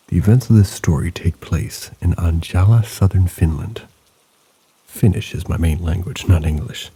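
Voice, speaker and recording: Low gravelly guy reading spooky stories, dark husky tone, steady and low-key. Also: low-quality recording. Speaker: host and narrator. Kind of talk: reading listener stories aloud.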